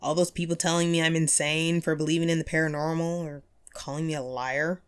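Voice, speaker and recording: A woman's voice: deep voice, level tone, brisk, clean tone, lightly acted. A woman playing a chatty, upbeat ghost of a radio host and ghost hunter in an audio roleplay, playful and musing in manner. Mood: musing, proud. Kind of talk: scripted one-sided audio roleplay, conversational.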